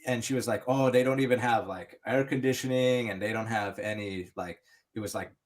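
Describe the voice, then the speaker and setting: A man's voice: on the low side, fairly quick. Rambling man telling a long story. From United States. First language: English. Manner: rambling, many restarts and "you know". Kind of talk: casual chat.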